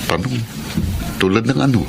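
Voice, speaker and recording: A man's voice: medium pitch, animated, normal speed, gravelly, slight background noise. An actor playing an apologetic married man with a secret second family in a Filipino radio drama. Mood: gentle, concerned. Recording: music bed under the voice.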